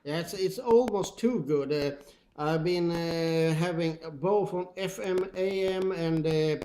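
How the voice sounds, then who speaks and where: A man's voice: fairly high pitch, slow, mellow. A man with a Swedish accent chatting casually as an amateur radio operator over two-way radio, searching for words in English. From Sweden. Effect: two-way radio transmission. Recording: noisy two-way radio with clicks.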